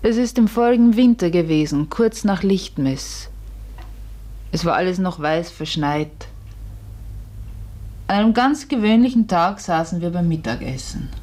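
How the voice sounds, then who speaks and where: An actress playing a middle-aged farmer's wife in a German radio drama, anxious and secretive, with a husky, hushed and halting delivery. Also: female voice, on the low side, lively intonation, slight background noise. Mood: calm, plain.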